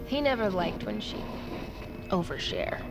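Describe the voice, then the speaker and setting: A young woman in an audio drama, acting as a guide to memories. She speaks at a middle pitch in a confiding, explaining way, with music behind her. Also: sing-song, a little slow, gravelly, poor audio.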